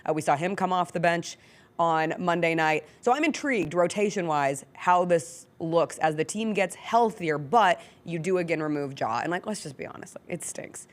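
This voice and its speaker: A woman's voice: fairly low pitch, animated, normal speed. An American woman speaking as a sports show host in a casual, conversational studio style.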